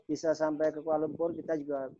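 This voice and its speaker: Male moderator, Indonesian accent, high but soft-edged voice, polite and casual, recorded over a dull online call. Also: completely flat delivery, fast. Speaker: forum moderator. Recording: dull, lo-fi online meeting audio.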